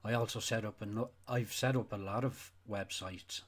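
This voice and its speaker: An Irish man with a slightly low voice talks off the cuff as the host of a livestream. He is opinionated and blunt, swearing freely. He repeats phrases to drive each point home. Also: steady tone, moderate pace, breathy edge. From Ireland. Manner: blunt, sweary, emphatic with repetition. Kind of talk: unscripted opinionated rant.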